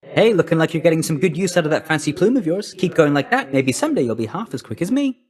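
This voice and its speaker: A man voicing a brisk, cheeky young messenger god in a video game, glib, breezy and chummy. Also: on the high side, very animated, darkish tone. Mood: impressed, teasing. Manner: glib, jaunty, chatty salesman-like patter. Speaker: video game character voice actor. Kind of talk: animated video game character dialogue.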